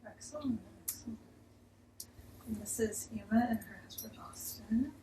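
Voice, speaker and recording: A woman's voice: a little high, normal speed, dark tone. Friendly woman facilitator, echoey room, distant mic. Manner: friendly, encouraging, with fillers. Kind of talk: group class introductions. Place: clinic room. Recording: roomy, distant mic, faint noise.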